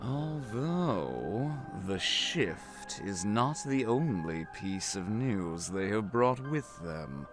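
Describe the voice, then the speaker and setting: An actor playing a sly, theatrical wind spirit in a visual novel, with a teasing, languid, dramatic delivery. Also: male voice, on the low side, very animated, drawn-out words, few pauses, heavily acted. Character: sly, theatrical male wind spirit, amused elder brother. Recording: music behind the voice. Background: game music.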